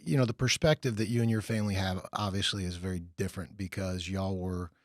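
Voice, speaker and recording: Pembawa acara podcast pria, suara kering tanpa gema, pertanyaan bertele-tele. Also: male voice, sits low, steady tone, fast, dry recording, studio quality. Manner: rambling, many restarts and fillers when asking questions. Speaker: podcast host. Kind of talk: scripted intro narration and casual interview.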